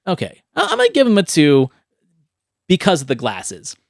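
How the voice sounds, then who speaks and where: A man's voice: a little high, wildly swooping pitch, normal speed, creaky, no echo, clean studio sound. A man hosting a movie podcast, talking casually, often ending points with "right?" and swearing now and then. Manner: conversational, tags lines with "right?", casual swearing. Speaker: podcast host.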